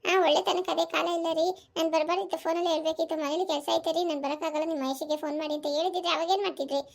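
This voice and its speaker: A woman voicing an overworked, sharp-tongued village housewife and mother in a family cartoon, scolding and complaining with a rural southern Karnataka accent. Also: extremely high pitch, steady tone, rapid-fire, creaky. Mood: indignant, sarcastic. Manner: scolding, complaining, rhetorical questions.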